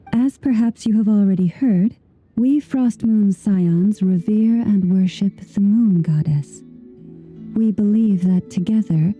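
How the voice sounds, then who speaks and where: A woman voicing a serene, devout moon chanter in a video game, speaking softly in formal, poetic, measured phrases. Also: mid-range voice, breathy edge. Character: serene, devout young female moon chanter, solemn and kindly. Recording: music playing behind the voice.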